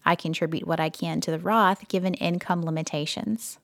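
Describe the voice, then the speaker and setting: A woman's voice: sits low, level tone, moderate pace, no echo. Woman reading a listener letter, dry sound. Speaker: podcast co-host.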